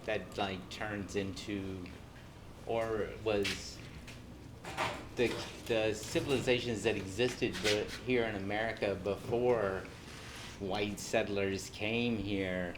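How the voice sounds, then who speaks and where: Man, low voice, very slow, halting with fillers, clear room noise. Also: fairly even intonation. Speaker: audience member. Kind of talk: casual comment from the audience. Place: community room.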